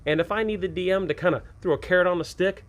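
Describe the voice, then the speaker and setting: A man's voice: sits high, fast. A man hosting an informal advice video for game masters, talking conversationally and emphatically and often repeating his points. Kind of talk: informal advice talk to viewers about running tabletop games.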